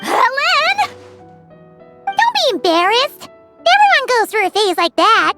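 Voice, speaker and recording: A woman voicing a small, curious, cheerful fairy-like companion in a video game, with a squeaky, childlike, bubbly delivery. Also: sing-song, measured pace, over loud music, exaggerated voice. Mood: reassuring. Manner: bubbly, exclamatory. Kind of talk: video game character acting.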